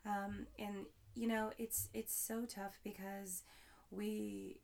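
A young woman hosts her podcast with a hoarse, raspy voice and a gravelly edge. She talks casually and upbeat, rambling with plenty of fillers and pauses. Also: mid-range voice, flat delivery, a little mellow. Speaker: podcast host. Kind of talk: casual solo podcast talk.

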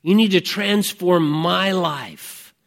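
A man in his fifties preaching a conversational sermon, reflective and emphatic, with deliberate pauses and repeated phrases. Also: sits high, creaky, a bit bright. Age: 50s. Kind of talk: conversational sermon with personal testimony.

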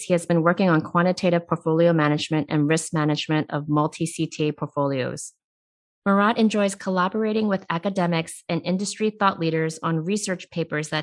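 A woman hosting a business interview series, upbeat and friendly, who laughs easily. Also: fairly low pitch. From immigrant, came at age eight. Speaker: interview host.

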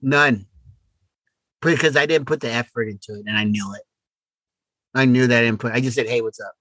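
A middle-aged man co-hosting a podcast in casual conversation, blunt and joking in an animated way. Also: mid-range voice, normal speed, dry recording, poor audio. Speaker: podcast co-host.

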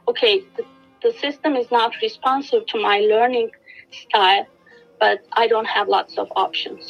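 A woman's voice: mid-range voice, creaky. Earnest Turkish-accented woman, muffled audio. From Turkey. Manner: earnest, steady, many fillers and restarts. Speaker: guest, education specialist. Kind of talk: reflective interview answer.

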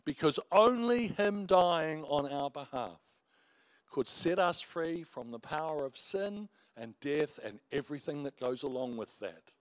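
A man's voice: a little high, a little slow, no echo. A middle-aged man with a big voice, preaching a sermon as a pastor and telling stories with self-mocking humor. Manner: animated storytelling preacher, warm asides and self-mocking laughs.

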